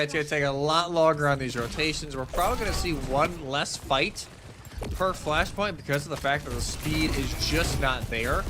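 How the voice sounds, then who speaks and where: Higher-pitched man casting esports over noise. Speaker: esports play-by-play caster. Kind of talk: live game commentary. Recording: clear background noise.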